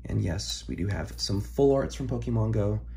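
A hoarse young man, recovering from an illness, chatting casually as he opens trading card packs at his desk, rambling with ums and throat clearing. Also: fairly low pitch, animated, normal speed, dark tone. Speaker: card-collecting channel host. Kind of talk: casual pack-opening chat. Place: home room, filmed over a desk.

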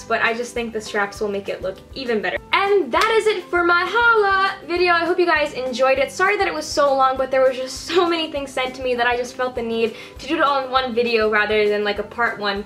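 A young woman chatting to camera in a bright bedroom, showing off clothes in an upbeat, rambling way with lots of fillers. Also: a little high. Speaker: fashion vlogger. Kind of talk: casual haul vlog to camera.

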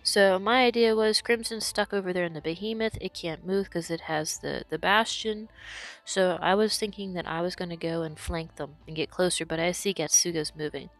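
A woman's voice: fairly low pitch, steady tone, moderate pace, faint music behind. A woman who is sick and losing her voice, explaining her moves as she commentates her own gameplay. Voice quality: hoarse. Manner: explanatory, talks through her decisions.

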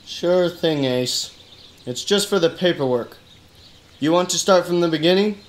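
An actor voicing a skeptical, hard-boiled private eye with dry, deadpan delivery in a noir detective radio play. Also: male voice, sits high, a little slow, slight background noise. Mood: dry, probing. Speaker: detective and narrator.

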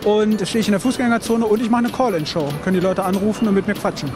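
A man with a high-pitched voice gives a casual, cheeky interview as a streamer. Music runs behind him. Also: fairly even intonation, quick pace. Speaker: live streamer, street talk interviewee. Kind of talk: casual chat. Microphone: handheld reporter microphone. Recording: music laid over the voice.

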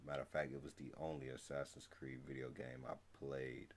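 Young adult man, American accent, deep-pitched voice, casual reaction commentary, video host. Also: flat delivery, a little fast. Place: small room at home, indoors.

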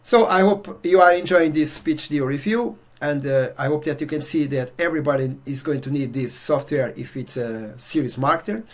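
A middle-aged man from Portugal with a Portuguese accent, walking through a software demo in a relaxed way with many fillers. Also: on the high side, moderate pace, hardly any pauses. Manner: relaxed, filler-heavy walkthrough. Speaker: software reviewer. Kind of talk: casual screen-share demo. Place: indoor room at home.

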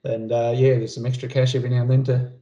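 A man's voice: mid-range voice, level tone, quick pace, dark tone. A man speaking as a farmer on a panel, answering questions casually and thinking aloud in a hesitant way. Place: indoors on a video call. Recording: dull video-call audio.

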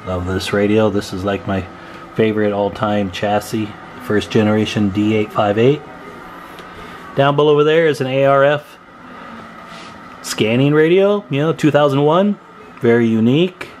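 A man giving a casual, informal hands-on demonstration as a hobbyist, unhurried, recorded on a handheld camera in a room at home. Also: mid-range voice, darkish tone, a little hiss or hum. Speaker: CB radio hobbyist showing his equipment. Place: indoor room at home with radio gear. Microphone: handheld camera microphone, speaker off screen.

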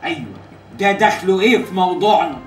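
An actor playing an exasperated, hot-tempered Egyptian TV boss at breaking point in a comedy series, agitated and excitable. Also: male voice, sits high, fast talker. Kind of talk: Arabic comedy series acting. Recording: very echoey, music behind.

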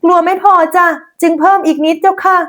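A woman's voice: very high voice, little pitch movement, fast talker, fairly bright tone, voice pushed up. A woman voicing a meek, kind-hearted young daughter-in-law in a folk tale, speaking politely and submissively. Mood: meek, obedient.

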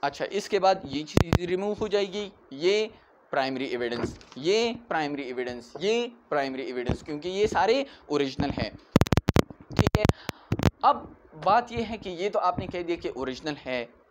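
A man's voice: on the high side, lively intonation, moderate pace. A man in his twenties with a Pakistani accent giving an explanatory law lecture in a didactic, repetitive way. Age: 20s. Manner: didactic, repeats key points, checks with "right" and "okay". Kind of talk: explanatory classroom-style lecture. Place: small indoor room in front of a whiteboard. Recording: clearly noisy amateur room recording.

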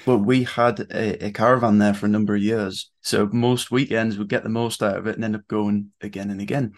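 A man's voice: lowish voice, steady tone, brisk. A young British man hosting a podcast with casual interview chat. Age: young adult. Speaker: podcast host. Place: small room at home.

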